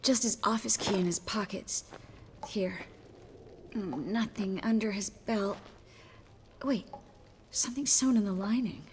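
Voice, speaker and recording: A woman's voice: medium pitch, a little slow, pauses often, a little hiss or hum, lightly acted. A woman playing a weary but resolute young sorceress in a video game, with a wry, understated delivery. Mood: focused. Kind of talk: video game character acting.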